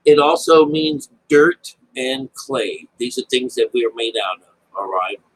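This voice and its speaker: A man with a husky voice giving informal, unscripted Bible commentary, rambling, repeating phrases and checking in with his listeners. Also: mid-range voice, a little slow, low-quality recording. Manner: rambling explanation with frequent check-ins like "all right?" and "okay?". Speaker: Bible teacher.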